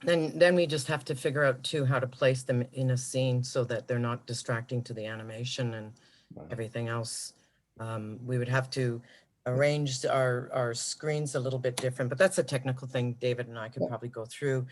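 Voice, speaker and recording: A man from an animation studio who explains ideas calmly, step by step with fillers, in a video call meeting. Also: mid-range voice, a little fast.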